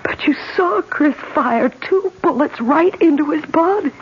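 An actress playing a frightened young newlywed wife in a radio drama, anxious and disbelieving. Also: female voice, sits high, lively intonation, unhurried. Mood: fearful, disbelieving. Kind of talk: 1970s radio drama acting. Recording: dull, faintly noisy old radio recording.

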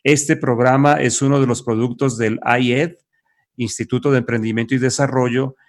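A man's voice: medium pitch, fairly even intonation, normal speed. A man hosting a business podcast, upbeat and encouraging as he introduces segments. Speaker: podcast host.

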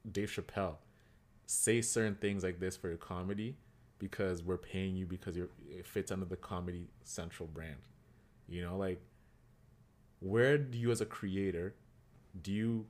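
A man co-hosting a podcast, talking casually and animatedly, with lots of "like" and repeated words. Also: sits low. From Kenyan heritage. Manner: animated, repeats himself, heavy use of "like". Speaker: podcast co-host.

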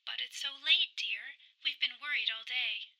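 A woman's voice: fairly high pitch, unhurried, gravelly, sharp tone, exaggerated voice, poor audio. A woman playing an anxious, devoted wife in a radio drama, tender and pleading. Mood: worried.

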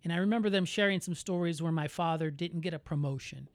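A man with an American accent co-hosting a podcast, talking in a candid, reflective and measured way. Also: a little high, dry recording. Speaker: podcast co-host. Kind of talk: casual conversational podcast.

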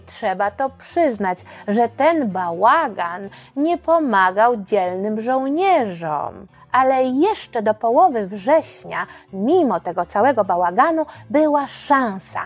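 A woman narrating history for children in a dramatic, explanatory storytelling style, speaking directly to listeners. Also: on the high side. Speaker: podcast narrator.

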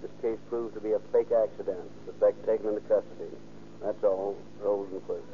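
An American male dispatcher reads in a low, flat monotone. His delivery is clipped and official. The old police radio sound is muffled and noisy. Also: brisk.

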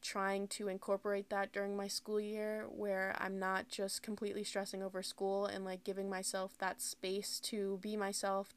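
A woman's voice: medium pitch, vocal fry, clear, resonant tone. A young woman of about twenty hosting her own podcast, talking candidly in a rambling, casual way with lots of "like" fillers. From United States. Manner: candid, rambling, heavy use of "like". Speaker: podcast host. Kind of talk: casual solo podcast talk.

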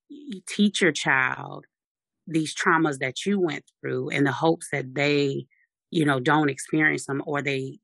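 A speaker with a deep, raspy voice, talking firmly and assertively in a casual discussion. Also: bright, forceful tone, no room sound.

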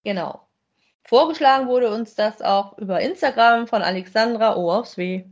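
A woman with a fairly high voice co-hosts a review podcast. She speaks informally, in the conversational style of a chat about audio dramas. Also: normal speed, dull audio. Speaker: podcast co-host.

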